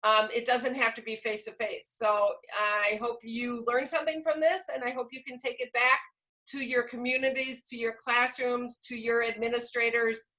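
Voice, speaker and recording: A woman's voice: fairly high pitch, flat delivery, normal speed, sharp tone, rough recording. A woman giving informal closing remarks as a workshop presenter on a video call.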